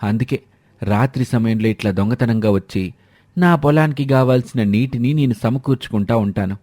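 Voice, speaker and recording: A man's voice: mid-range voice, normal speed, mellow, no echo, close to an everyday voice. A man voicing a poor village farmer in a cartoon moral story, reassuring and earnest in plain rustic speech.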